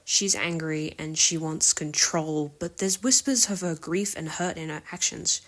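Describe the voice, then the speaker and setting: Woman, slightly low pitch, podcast listener, prepared spoken commentary. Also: measured pace, a bit bright. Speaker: listener sending in a voice submission.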